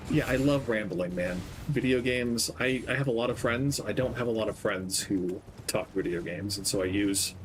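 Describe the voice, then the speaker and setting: A young man streaming a game, talking in a gentle, soft-spoken, rambling way with lots of ums. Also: lowish voice, moderate pace, hardly any pauses, background noise. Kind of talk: casual gameplay stream chat. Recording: game music playing behind. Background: game music.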